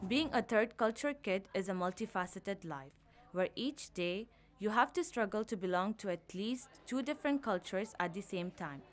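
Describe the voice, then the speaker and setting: A young woman reading a student news report as narrator, in an even, measured way. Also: medium pitch. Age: young adult.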